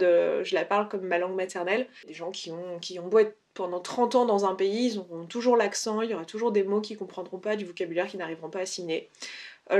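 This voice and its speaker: A woman speaks in a medium-pitched voice. She talks like a video blogger sharing advice, casual and unscripted. Her delivery is conversational and explanatory, often rewording a point as she goes. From France. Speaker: video blogger giving language-learning tips.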